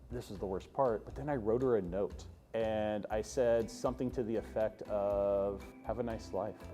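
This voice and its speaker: A man hosting a comic first-person story, told theatrically with dramatic emphasis and drawn-out words. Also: mid-range voice, warm tone. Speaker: storyteller host.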